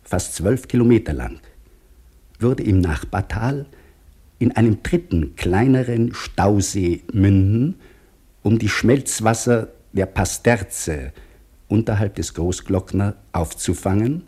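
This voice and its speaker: An actor playing an anxious geology professor who wants to start over as a labourer, narrating a German radio play reflectively and in measured phrases. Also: male voice, lowish voice, warm tone, slightly rough. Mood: reflective, explanatory. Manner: measured, reflective, dropping to near whisper.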